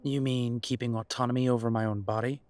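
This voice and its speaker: A man acts out an estranged werewolf son in an audio roleplay. His voice is mid-pitched and recorded very dry. He sounds guarded, hurt and weary, with biting sarcasm. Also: little pitch movement, fast, darkish tone, no echo, lightly acted. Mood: sarcastic, defiant. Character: bitter, wounded adult werewolf son confronting his parents. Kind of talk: audio roleplay drama acting.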